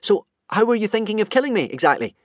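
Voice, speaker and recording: A man voicing a clever young Viking boy, shouting urgently and fast-thinking under pressure. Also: high voice, very animated, no room sound, highs cut off, pitched above the performer's own voice.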